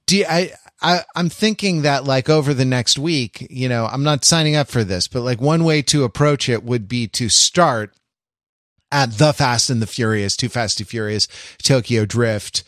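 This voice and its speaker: A man chatting casually as a podcast co-host, halting and often repeating his words as he goes. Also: mid-range voice, fairly bright tone, no room sound, clean studio sound. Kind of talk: casual chat.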